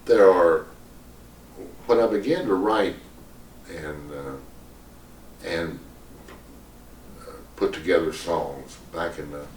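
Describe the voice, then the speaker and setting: A man's voice: deep voice, slow, long pauses, a little mellow, reverberant, recorded from a distance, a little hiss or hum. An older man, a singer-songwriter, talking reflectively and hesitantly in an unscripted interview, rambling a little as he goes. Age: older, 70s or above.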